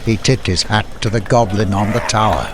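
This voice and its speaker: A man's voice: on the low side, brisk, background noise. A man reading scripted fantasy narration as an audiobook storyteller.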